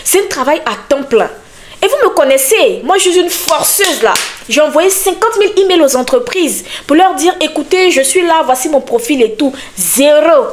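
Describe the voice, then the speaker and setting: A young woman hosts a casual podcast, talking directly to her audience. Her voice sits high. She stays animated and emphatic, pressing each point home. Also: moderate pace, a little hiss or hum. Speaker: podcast host and content creator.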